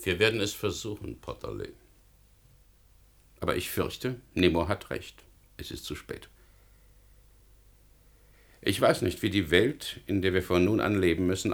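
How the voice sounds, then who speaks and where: A man's voice: low-pitched, creaky, full character voice. A man acting in a German radio play as a stern, bitter government official, speaking in an emphatic, sardonic, rhetorical manner. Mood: resigned, sardonic.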